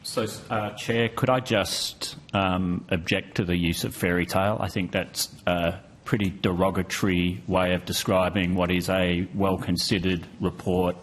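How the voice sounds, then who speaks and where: Very low monotone guy at a hearing, formal and polite, pushing back carefully. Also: unhurried, few pauses. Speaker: research agency executive giving testimony. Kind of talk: formal spoken testimony. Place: parliamentary committee room.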